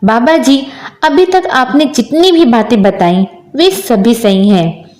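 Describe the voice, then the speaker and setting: An older village mother-in-law from a storybook, voiced by a woman narrator. She speaks high, with devout deference and an anxious edge. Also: animated, slow, a little mellow, echoey room. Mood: deferential.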